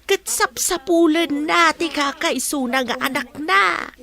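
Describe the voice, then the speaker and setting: A woman's voice: high voice, takes it slowly, creaky, bright, forceful tone, full character voice. An actress playing a chatty, deferential older village woman in a radio drama, with an Ilocano accent. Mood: revealing, intent.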